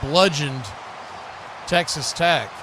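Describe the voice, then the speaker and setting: Over clearly noisy live-stream audio, an American man calls a football game as host and play-by-play commentator. His voice sits a little high for a man. He talks in a loose, folksy, conversational style, without a script. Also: slow. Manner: conversational, folksy, rises to excited shouts on big plays.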